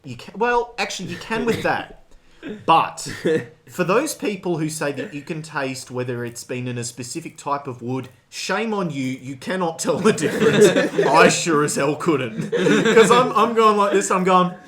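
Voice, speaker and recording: Male podcast co-host, fairly high register with swooping delivery, theatrically exasperated storyteller. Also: moderate pace, a crowd in the background. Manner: animated, mock-exasperated, acts out quotes. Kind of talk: comic rant in casual podcast chat.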